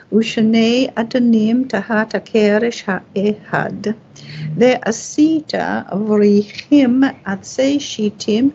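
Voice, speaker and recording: A woman reading scripture aloud as a Torah reader, phrase by phrase in a careful, measured way. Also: medium pitch, gravelly, darkish tone. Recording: dull, lo-fi sound.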